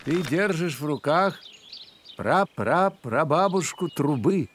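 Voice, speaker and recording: An actor voicing a courtly grasshopper maestro who guides children through music in a theatrical, measured, explanatory cartoon manner. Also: male voice, a little high, lively intonation, noisy background. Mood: explanatory, grand. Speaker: guide and teacher. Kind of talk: animated educational storytelling. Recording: music behind the voice.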